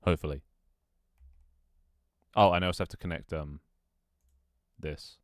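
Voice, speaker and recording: Young guy streaming, real low voice, rambly and chatty, dry close mic. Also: steady tone, normal speed, dry recording. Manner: rambling, self-talk with frequent restarts and laughs. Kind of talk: casual live-stream chat while gaming.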